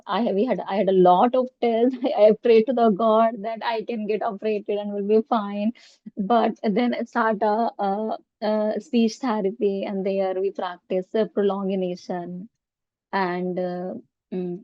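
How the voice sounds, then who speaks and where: A woman's voice: mid-range voice, no echo. A woman with an Indian accent who stammers, answering interview questions with many fillers and repeated sounds. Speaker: guest, coaching centre founder. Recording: dull lo-fi audio.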